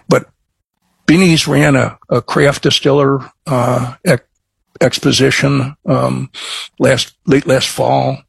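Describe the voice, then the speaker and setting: A middle-aged man from Chicago with a Chicago accent, chatting as a guest in an interview, halting with many restarts and fillers. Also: medium pitch, gravelly, slightly rough, no room sound. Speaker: craft distiller guest. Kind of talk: casual interview chat.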